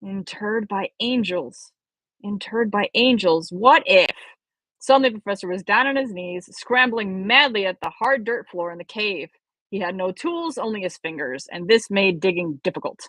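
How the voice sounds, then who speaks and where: A woman's voice: mid-range voice, lively intonation, moderate pace, fairly bright tone, no echo, poor audio. A woman reading a story aloud expressively as an amateur audiobook reader.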